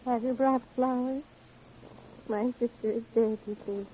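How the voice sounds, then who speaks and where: A woman's voice: a little high, fairly even intonation, a little slow, close to an everyday voice. An actress in an old radio drama playing a grieving, eerily polite woman with a dreamy, detached manner. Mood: dazed, polite. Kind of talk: old-time radio acting. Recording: muffled, noisy old radio recording.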